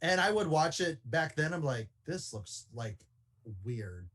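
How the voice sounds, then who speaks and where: A man around forty with a voice slightly higher than average. He speaks in a casual, joking way, as a panelist swapping film trivia with friends. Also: very animated, unhurried, fairly bright tone. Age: 40s.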